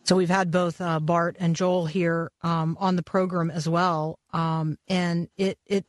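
An interview host with a dry, close sound and a middle-pitched voice. The delivery is unhurried and conversational, with many ums. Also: little pitch movement, dry recording. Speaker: radio show host. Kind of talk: interview hosting.

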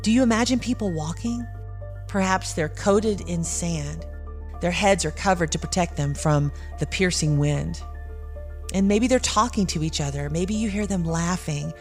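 A woman narrating a scripted audio drama in a measured, evocative storytelling way. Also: fairly low pitch, a little mellow. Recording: clear music bed underneath.

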